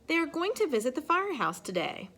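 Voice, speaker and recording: A woman reading a children's picture book aloud in an expressive way. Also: high-pitched, brisk, slight background noise. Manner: expressive, acts out sound effects and exclamations. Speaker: storybook reader.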